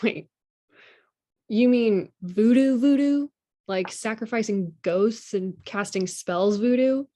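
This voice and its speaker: A woman's voice: on the high side, slow pace, dark tone. A woman reading a sassy, affectionate girlfriend in a naturalistic drama table read, playful and conversational. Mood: incredulous. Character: sassy, affectionate girlfriend meeting boyfriend's family.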